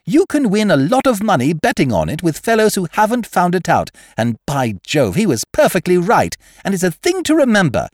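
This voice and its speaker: A man's voice: wildly swooping pitch, moderate pace, hardly any pauses, no room sound, clean studio sound, exaggerated voice. A man playing a flustered, dithering young English toff. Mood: rambling. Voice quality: high, strained, spluttering. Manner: stammering, dithering, breathless bursts. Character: flustered, befuddled young upper-class English gentleman. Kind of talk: audiobook character voice.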